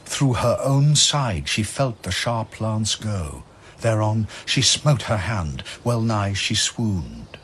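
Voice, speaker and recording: A man delivers solemn, scripted verse narration in a low, expressive voice. He takes his time over the phrases, with faint ambience behind him. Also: a little hiss or hum. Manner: solemn, deliberate.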